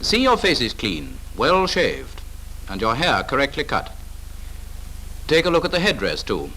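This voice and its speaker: A man's voice: mid-range voice, wildly swooping pitch, unhurried, pushed and bright, low-quality recording. A British man narrating a 1940s instructional film in a clipped, authoritative, instructive manner, on an old film soundtrack.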